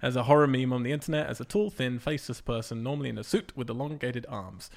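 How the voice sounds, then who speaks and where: A man hosting a casual movie news chat, playful and rambling, quick to joke. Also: medium pitch, gravelly. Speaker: host.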